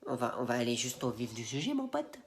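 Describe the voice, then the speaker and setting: A man's voice: medium pitch, wildly swooping pitch, a little fast, very noisy. A man with a head cold and a stuffy nose, ranting casually to camera with slangy, rambling indignation and plenty of fillers. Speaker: Instagram video creator. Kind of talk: casual opinion rant to camera.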